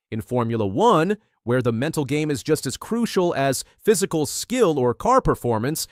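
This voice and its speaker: Lively scripted male news narrator, higher-pitched. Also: no echo, studio quality. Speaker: narrator of a motorsport news video.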